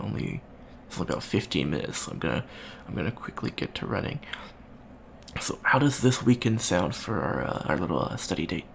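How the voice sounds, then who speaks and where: Man as awkward college alien, low and creaky. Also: heavily acted. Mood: awkward, friendly. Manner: hesitant, stammering, rambling. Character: shy, awkward young avian alien college student, friendly. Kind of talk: ASMR roleplay. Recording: faint background noise.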